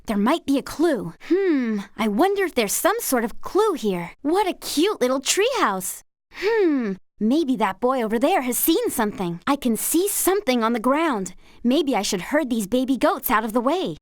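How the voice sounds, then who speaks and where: Cheerful young woman rider, video game guide, lively intonation, dry close studio sound, encouraging. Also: sits high, no echo. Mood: curious, determined. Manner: clear, upbeat, encouraging. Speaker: game lead character and tutorial guide. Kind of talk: scripted video game instruction and dialogue.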